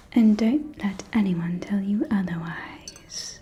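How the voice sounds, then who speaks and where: A woman's voice: mid-range voice, warm tone, slight background noise. A woman playing a gossipy, flamboyant vampire socialite in an ASMR roleplay, whispering in a coy, theatrical drawl.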